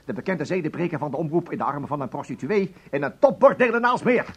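Furious, high-voiced, swooping boss. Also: male voice, expressive, fast talker, slightly rough. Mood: scornful, indignant. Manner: theatrical, indignant, scornful, reading out with biting emphasis. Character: furious, bullying male broadcasting boss berating an employee. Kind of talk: Dutch radio drama acting.

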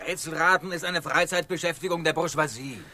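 A man playing a militant, defiant sailor in a comic radio play, declaiming forcefully. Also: on the high side, fairly quick, no echo. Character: rebellious male sailor, militant, defiant union agitator.

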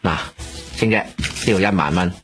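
An actor playing a smooth-talking middleman who coaxes a woman on a boss's behalf in a radio drama. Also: sits low, normal speed, fairly bright tone, soft background music. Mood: coaxing. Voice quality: wheedling, persuasive. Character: smooth-talking middleman running errands for a boss. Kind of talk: Hong Kong radio drama acting.

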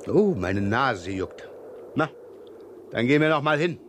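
A man's voice: mid-range voice, big pitch swings, unhurried, a little hiss or hum. An actor playing a grumbling, wry and brusque male police inspector in a 1970s radio crime drama. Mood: dry, irritated.

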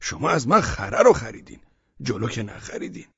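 A man's voice: medium pitch, sing-song, no room sound, highs cut off, pitched above the performer's own voice, heavily acted. A man voicing a stubborn, cunning old male village peasant who haggles and insists. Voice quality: raspy, gravelly.

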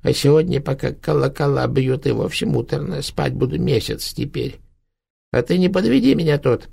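A man's voice: medium pitch, normal speed, gravelly, darkish tone, slightly rough, pitched above the performer's own voice. A man voicing a dead, rotting old witch grandmother who sneers and scolds her granddaughter in a cracked, rattling voice. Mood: sly. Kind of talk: audiobook narration.

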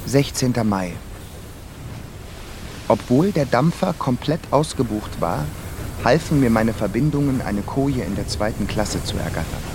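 An actor in a radio drama playing a wry, reflective émigré composer narrating a travel diary. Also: male voice, fairly low pitch, noisy background. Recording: prominent music behind the voice.

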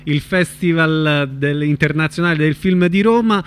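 A male radio host with a voice a bit higher than most men's. He interviews in a conversational, friendly and informal manner. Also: measured pace, background noise. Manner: informal, friendly, frequent backchannel agreement.

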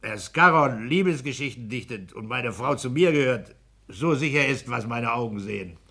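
Forceful, smug petty-bourgeois clerk. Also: male voice, expressive, normal speed, vocal fry, husky, exaggerated voice. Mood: boisterous, mocking. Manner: exacting, domineering, booming bursts of mockery. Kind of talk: 1960s German radio play acting.

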